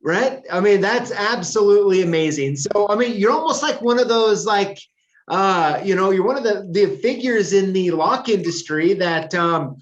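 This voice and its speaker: A man's voice: sits high, expressive, moderate pace. Enthusiastic male host, muffled sound. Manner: rambling, enthusiastic, many fillers. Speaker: show host, interviewer. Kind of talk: casual interview.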